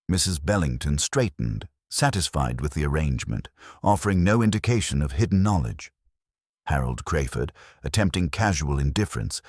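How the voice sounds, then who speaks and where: A man narrating a scripted mystery story in a measured, formal storytelling manner. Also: extremely low pitch, no room sound, clean studio sound. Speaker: audiobook narrator.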